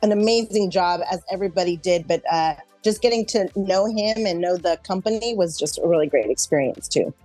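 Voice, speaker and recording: A woman's voice: fairly low pitch, brisk, few pauses, mellow, soft background music. A middle-aged woman actor chatting casually in an interview, speaking in a reflective, conversational way.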